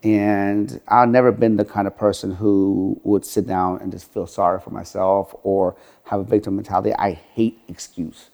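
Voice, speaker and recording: A man's voice: fairly low pitch, flat delivery, moderate pace, vocal fry, hardly any pauses, darkish tone. A middle-aged man from Iran, a podcast guest telling his life story earnestly, with many "you know" fillers. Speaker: podcast guest, gym franchise owner. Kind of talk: interview storytelling.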